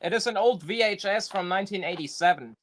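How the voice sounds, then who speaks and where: A man's voice: sits high, fast talker, a bit bright, slight background noise. A man hosting a casual livestream, joking and rambling with plenty of fillers. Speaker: livestream host.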